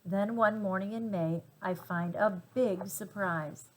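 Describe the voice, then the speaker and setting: A woman reading a children's storybook aloud with gentle, deliberate phrasing and dramatic emphasis. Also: medium pitch, low-quality recording. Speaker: storybook reader.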